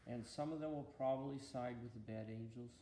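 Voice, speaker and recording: A man's voice: medium pitch, a little fast, warm tone, echoey room, lightly acted. A man voicing a thoughtful, patient God who explains his plans to his angels in a storybook reading, with a reassuring manner. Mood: patient, pensive.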